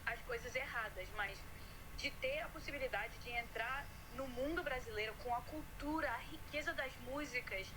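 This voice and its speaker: Woman, american accent, effusive and enthusiastic, slightly high voice, noisy background hiss. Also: moderate pace. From United States. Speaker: interviewee. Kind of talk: casual conversation. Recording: noisy clip from another video.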